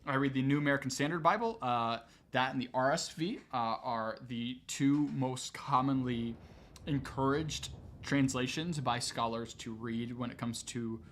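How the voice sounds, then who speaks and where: A man of ordinary pitch chats casually on a live stream, fielding one viewer question after another. He talks loosely and explains at length with frequent "um"s, and a faint hum sits under his voice. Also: moderate pace. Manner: relaxed, conversational, explains at length with many "um"s. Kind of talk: casual livestream Q&A.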